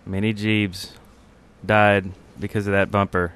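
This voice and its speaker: A man's voice: fairly low pitch, flat delivery, a little slow, a bit bright, clean tone. A man co-hosting a podcast, chatting informally and a bit rambling about video games. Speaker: podcast co-host. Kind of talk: casual gaming podcast chat.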